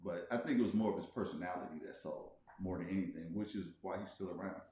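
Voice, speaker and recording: A man's voice: low-pitched, quick pace, warm tone. A man chatting casually and conversationally as a laid-back guest on a livestream Q&A. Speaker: guest, travel vlogger. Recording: very echoey room.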